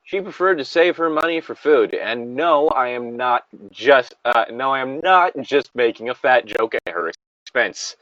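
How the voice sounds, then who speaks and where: A man's voice: medium pitch, moderate pace, fairly bright tone, dry recording, lo-fi sound. A man giving casual, rambling and blunt commentary on a story, with frequent swearing. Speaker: podcast host reading and commenting on a story.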